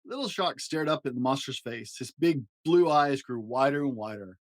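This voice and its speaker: A man reading a children's picture book aloud as a storyteller, with an expressive, dramatic delivery. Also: mid-range voice, normal speed, dry recording, studio quality.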